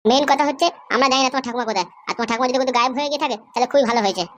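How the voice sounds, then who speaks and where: Performer as vengeful taunting woman, high voice, very fast, confrontational, cartoon comedy, faint background noise. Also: close to an everyday voice. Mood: hostile, taunting. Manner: confrontational, threatening. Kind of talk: amateur cartoon comedy dialogue.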